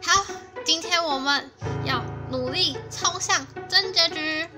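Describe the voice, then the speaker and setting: A woman giving casual, expressive let's play commentary as host of a gaming video. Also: very high voice, takes it slowly. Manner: expressive, exclaiming reactions. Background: game music.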